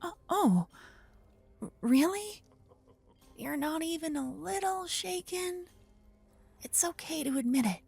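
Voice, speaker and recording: A woman playing an adorable young aspiring paladin in an ASMR roleplay, caring and teasing, soft-spoken and easily flustered into stammers. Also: very high-pitched, animated, takes it slowly, vocal fry, pauses often, soft background music, heavily acted. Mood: surprised, flustered.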